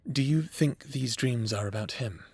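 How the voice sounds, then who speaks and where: A man's voice: mid-range voice, creaky, darkish tone, husky, dry recording. Man playing a formal therapist, very dry sound. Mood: reflective, clinical. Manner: measured, clinical, formal diction. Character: patient, formal male government therapist. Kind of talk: cinematic audio roleplay acting, addressing the listener. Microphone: close, dry studio mic.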